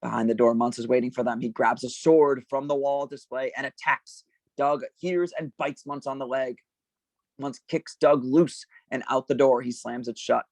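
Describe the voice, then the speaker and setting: A man's voice: medium pitch, steady tone, moderate pace, a little mellow, no room sound. A man reading stage directions as scripted narration at an informal table read.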